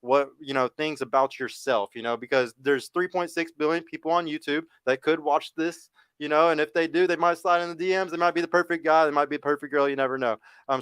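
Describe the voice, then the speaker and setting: Fast-talking, clear-voiced man hosting. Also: a little high, a bit bright, dry recording. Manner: informal, slangy. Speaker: dating show host. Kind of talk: casual interviewing.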